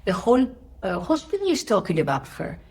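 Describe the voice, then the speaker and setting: A middle-aged or older woman from Spain with a Spanish accent, answering interview questions and telling personal stories in an expressive, emphatic way. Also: mid-range voice, a little fast. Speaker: interviewee, spiritual teacher.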